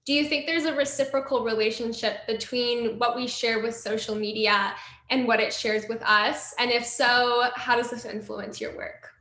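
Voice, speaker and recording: A woman moderating an online poetry reading, reading audience questions aloud clearly. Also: a little high, fairly even intonation, moderate pace, bright, forceful tone, reverberant.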